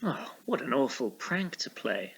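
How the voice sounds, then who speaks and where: An actor playing a mild-hearted, nervous gentleman in a Gothic audio drama, speaking in a polite, faltering, theatrical way. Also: mid-range voice, big pitch swings, unhurried, gravelly, slightly rough, full character voice, low-quality recording. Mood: dismissive.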